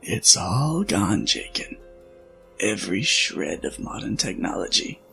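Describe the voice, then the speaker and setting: An actor playing an old, weary scientist in a science fiction audio drama, speaking in a measured, explanatory way with a creaky voice. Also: male voice, lowish voice, sing-song, bright, forceful tone, full character voice. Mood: grave, explanatory. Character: old, worn male scientist, weary, reflective friend. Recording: music under the voice.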